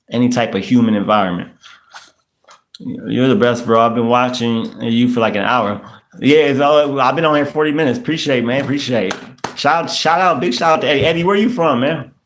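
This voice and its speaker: Slangy American man in his thirties. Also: mid-range voice. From United States. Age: 30s. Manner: loose, rambling, repeats himself, street slang. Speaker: podcast host. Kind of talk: casual livestream chat reading comments.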